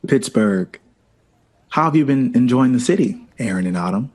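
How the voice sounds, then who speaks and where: An actor playing an urbane, witty and smitten jazz composer in an audio drama, with refined, theatrical phrasing that savors words. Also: male voice, mid-range voice, lively intonation, normal speed, darkish tone, close to an everyday voice. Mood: charming, flirtatious.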